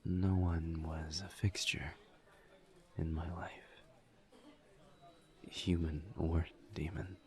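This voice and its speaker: A man playing a gentle, guarded incubus in an intimate audio roleplay, murmuring breathily and haltingly with careful pauses. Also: level tone, exaggerated voice. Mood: guarded, confiding. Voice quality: breathy, creaky, low murmur. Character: gentle, guarded male incubus demon, earnest and reserved. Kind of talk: intimate roleplay audio drama, partly whispered.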